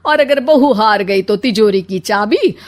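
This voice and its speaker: A woman voicing an aggrieved, plotting older mother-in-law in a comic animated story, dramatic and complaining. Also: medium pitch, unhurried, close to an everyday voice. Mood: challenging.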